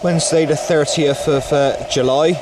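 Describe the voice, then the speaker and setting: A man giving a casual, unscripted video commentary as a radio operator, pausing often mid-sentence. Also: fairly high pitch, steady tone. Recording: loud outdoor ambience and radio noise.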